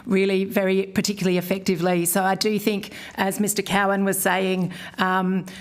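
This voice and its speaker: A woman gives formal testimony at a committee hearing. Her voice is level and even in pitch, measured, and peppered with ums and uhs. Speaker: official witness.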